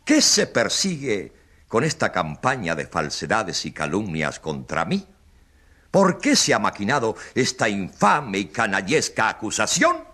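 Pompous populist politician, forceful and swooping. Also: male voice, on the low side, very animated, measured pace, slightly rough, exaggerated voice. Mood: indignant, theatrical. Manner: grandiose oratory with dramatic repetition. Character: pompous, evasive populist politician defending himself in court. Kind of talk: radio drama courtroom acting.

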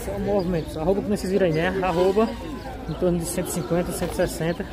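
A man's voice: high voice, fairly even intonation, rapid-fire. A man with a Northeastern Brazilian accent chatting informally as a market vlogger, asking prices and repeating answers back. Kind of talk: casual on-the-spot market commentary and chat. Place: outdoor pig market. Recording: very loud outdoor livestock market crowd. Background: loud crowd, animals, vehicles.

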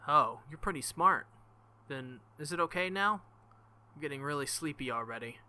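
A man's voice: on the high side, animated, normal speed, bright, forceful tone. A man reading the lines of a proud, bossy but caring teenage girl mage in his own voice. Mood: reassuring.

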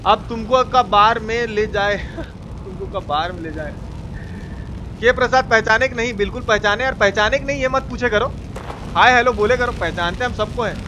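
A man's voice: high voice, normal speed, noisy background. A man with a Bihari accent giving colloquial, slangy live gameplay commentary, playfully repeating his phrases. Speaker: game streamer.

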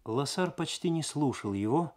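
A man reading a story aloud as dramatic 1970s radio narration, measured and expressive. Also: fairly high pitch.

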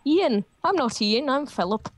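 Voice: sits high, lively intonation, a little slow. A young-sounding performer playing a naive, oddball prank caller, silly and mock-innocent with abrupt turns in an improvised call. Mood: eager, awkward. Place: at a computer on a group video call.

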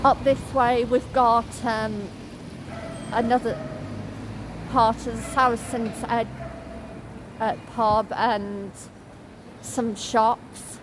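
A woman in her thirties films herself on a phone at arm's length as she strolls through town, pausing often on ums and uhs. Her voice sits a little high and she takes her time. Passing traffic and street noise are clearly heard behind her. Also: little pitch movement. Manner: halting, full of ums and uhs, pointing things out. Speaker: travel vlogger. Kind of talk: walk-and-talk vlog narration. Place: outdoors on city streets. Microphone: phone camera held at arm's length. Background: traffic and street ambience.

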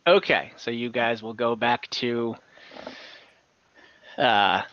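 A man narrating an online tabletop game as game master, with a halting, hesitant delivery. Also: medium pitch, steady tone, no room sound. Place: indoor room, video call. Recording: muffled, dry video call audio.

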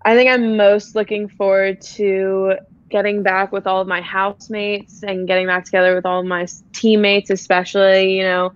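A woman's voice: medium pitch, little pitch movement, normal speed, smooth, no room sound, dull audio. A young woman, a college athlete, answering a question in an easygoing, thoughtful way over a webcam video call from her living room. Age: young adult. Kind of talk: casual interview answer.

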